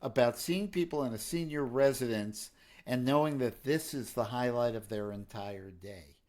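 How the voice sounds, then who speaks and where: A man's voice: medium pitch, normal speed, darkish tone. A middle-aged man from New York co-hosting a casual podcast, joking and playful as he talks about music. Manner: jokey, playful, breaks into humming. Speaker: podcast co-host, pianist and songwriter.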